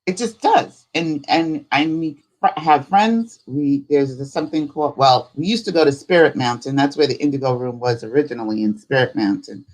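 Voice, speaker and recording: A woman hosting a live spirituality stream, chatting casually in an unhurried, rambling way. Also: a bass voice, a little mellow, low-quality recording. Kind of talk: casual livestream chat.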